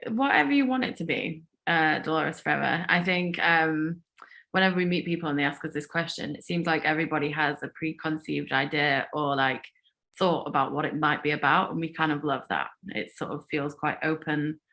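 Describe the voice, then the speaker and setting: Deep bright-toned woman musician. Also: a little fast. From Yorkshire, England. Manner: friendly, self-deprecating, laughing lightly. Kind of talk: casual interview answers.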